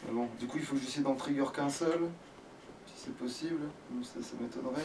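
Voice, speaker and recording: A man's voice: mid-range voice, fairly even intonation, fast. A man giving casual let's play commentary, slangy and prone to swearing. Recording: distant mic with faint noise.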